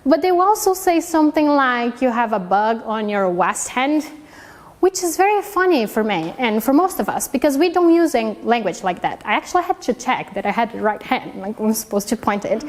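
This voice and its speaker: Brazilian-accented woman, fairly high voice, earnest speech, reverberant hall. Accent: Brazilian Portuguese. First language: Portuguese. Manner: earnest, heartfelt, with small nonnative grammar slips. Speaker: PhD student giving a speech. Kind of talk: prepared public speech. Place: hall with a live audience.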